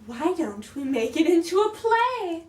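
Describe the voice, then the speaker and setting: A woman's voice: very high-pitched, sing-song, measured pace, darkish tone, exaggerated voice. A woman playing a spoiled young queen in amateur comic theatre, haughty, breezy and dismissive. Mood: excited. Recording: echoey room, distant mic, noisy.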